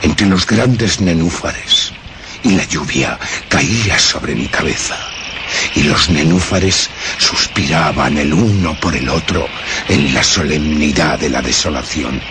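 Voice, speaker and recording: Haunted gothic fable narrator, super low n slow, brooding, radio horror, noisy background. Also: male voice. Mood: grave, haunted. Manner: grave, measured, brooding.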